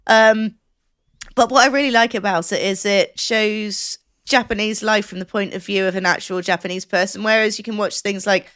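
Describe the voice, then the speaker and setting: A woman's voice: mid-range voice, steady tone, moderate pace, a bit bright, no room sound, studio quality. A woman chatting casually as a podcast co-host, explaining things in an enthusiastic, rambling way.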